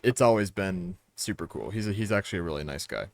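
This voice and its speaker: A young man of eighteen talks casually among friends. His voice is low and sounds older than his age, recorded very dry, and he often stumbles back over his opening words. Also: expressive, fast talker, creaky, no room sound. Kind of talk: casual chat.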